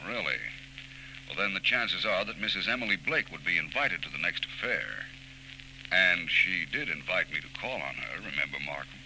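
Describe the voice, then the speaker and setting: An actor playing a suave, self-assured gentleman detective with urbane, teasing delivery, in 1940s radio style. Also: low-pitched, lively intonation, moderate pace, exaggerated voice. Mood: wry, suave. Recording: noisy 1940s radio recording.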